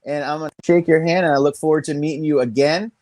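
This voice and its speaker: Upbeat interview host, dry close sound. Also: male voice, fairly high pitch, fairly even intonation, fast, darkish tone, dry recording. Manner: enthusiastic, hypes the guest, loose grammar. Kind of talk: casual interview.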